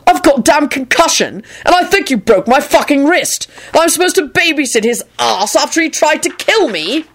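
A woman's voice: fairly low pitch, lively intonation, moderate pace. A woman voicing an irritable, sarcastic, foul-mouthed man in a story reading, indignant and sardonic. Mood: indignant shouting.

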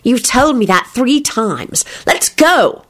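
A woman's voice: a little high, sing-song, takes it slowly, a bit bright, voice pushed up, exaggerated voice. A woman voicing an anxious, stubborn girl with a worried, insistent manner in a dramatized storybook reading. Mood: impatient. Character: anxious, stubborn girl who dithers yet acts defiant.

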